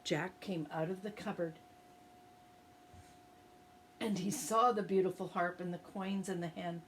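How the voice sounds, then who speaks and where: Slow, gentle children's storyteller woman. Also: on the low side, fairly even intonation, slight background noise. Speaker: early-years storyteller.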